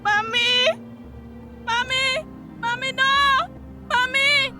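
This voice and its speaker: An actress playing a chatty, cheeky teenage daughter in a radio drama, with a Tobagonian accent and animated dialect speech. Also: female voice, extremely high pitch, very slow, loud music behind the voice, heavily acted. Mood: panicked. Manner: animated, dialect-rich gossiping.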